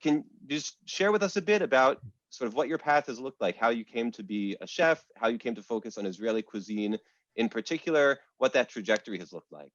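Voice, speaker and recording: A man hosting and introducing guests over a video call, speaking in a measured way with frequent "uh" fillers. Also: medium pitch, animated, no echo. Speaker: academic host and moderator. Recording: dull, lo-fi video call audio.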